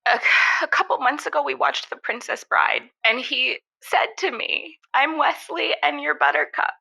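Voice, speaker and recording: High, bright, indignant young socialist. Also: female voice, normal speed, creaky, full character voice, low-quality recording. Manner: theatrical, emphatic, indignant. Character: distraught young idealistic socialist woman, indignant radio call-in guest. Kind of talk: comedic audio drama acting.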